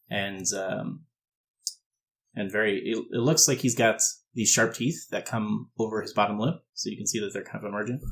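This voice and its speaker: Male game master, lowish voice, halting improvised fantasy narration for a tabletop session. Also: a bit bright. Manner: halting, repeats and rephrases as he describes.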